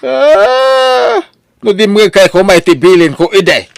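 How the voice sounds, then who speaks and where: A man's voice: high voice, lively intonation, slow, creaky, clear, resonant tone, no room sound, studio quality, heavily acted. A man playing a stern, tight-fisted father in an Ilocano audio drama. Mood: stern, scolding.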